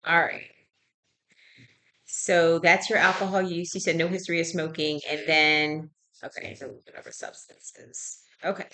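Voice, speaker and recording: Woman, slightly low voice, health professional, clinical questioning, conversational, confirms details. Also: level tone, measured pace, pushed and bright. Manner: conversational, checks and repeats answers back. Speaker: health professional taking a patient history. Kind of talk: clinical intake interview.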